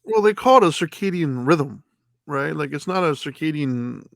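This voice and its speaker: A man co-hosting a casual talk show, explaining sleep habits in an easygoing way, often halting and restarting his sentences. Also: a little high, lively intonation, creaky, dry recording, studio quality. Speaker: podcast co-host.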